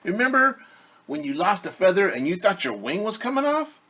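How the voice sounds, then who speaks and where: Man doing a high-pitched, doubtful storybook duck. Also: very animated, fairly quick, sharp tone, husky, full character voice. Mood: concerned. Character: easygoing male duck, sceptical friend of a hen. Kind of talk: storybook character voice.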